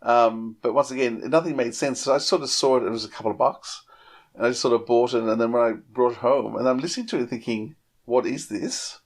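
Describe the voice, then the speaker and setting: A man's voice: mid-range voice, fairly quick. A man chatting casually on a podcast, rambling and repeating words as he works through his thoughts. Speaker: podcast panellist. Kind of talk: casual chat.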